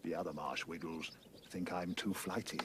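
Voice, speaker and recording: A man's voice: low-pitched, fairly even intonation, background noise, close to an everyday voice. Man acting a gloomy, doleful marsh creature guide. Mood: gloomy resolve. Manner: doleful, pessimistic, deliberate. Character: gloomy, lugubrious marsh-wiggle guide, dourly fatalistic grown male creature. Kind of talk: audio drama acting.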